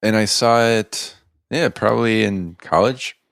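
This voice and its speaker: Man with a cold, very low. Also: a little slow, creaky, fairly bright tone, dry recording, clean studio sound. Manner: rambling, trails off, restarts. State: sick with a cold, deep rough voice. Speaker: podcast co-host. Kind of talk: casual chat.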